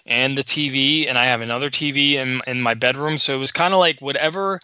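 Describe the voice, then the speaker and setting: A man co-hosting a podcast, talking in a halting way that repeats words as he thinks aloud. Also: mid-range voice, bright, forceful tone, clear, resonant tone, no room sound. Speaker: podcast co-host. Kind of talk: casual podcast chat. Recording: dull lo-fi podcast audio.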